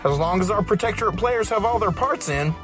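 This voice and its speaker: A man's voice: high voice, expressive, a little fast. A man co-hosting a podcast, reading a scripted promo with bantering turns. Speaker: podcast co-host. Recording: music bed underneath.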